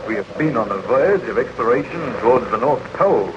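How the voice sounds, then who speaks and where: An actor playing a steadfast, courteous ship's captain, formal and authoritative, in a 1930s radio drama. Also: male voice, medium pitch, expressive, fairly quick, lightly acted. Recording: muffled, very noisy 1930s radio recording.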